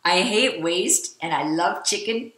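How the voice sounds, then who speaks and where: A woman in her fifties chats her way through a home cooking demo, laughing easily between steps. Her voice is low-pitched but bright and forward. She is picked up by a camera mic in an echoey home kitchen. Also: expressive, unhurried. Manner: chatty, laughs often, gestures while talking. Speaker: cooking show co-host. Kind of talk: casual cooking demonstration. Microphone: camera mic across the kitchen.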